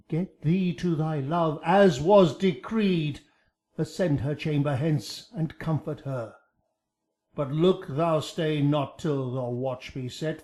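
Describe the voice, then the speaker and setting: Shakespeare friar on the radio, urgent and preachy, slowish, declaims everything, lightish pitch. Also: male voice, darkish tone. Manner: declamatory, commanding. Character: Friar Lawrence, urgent, admonishing holy friar. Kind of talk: Shakespearean radio drama acting.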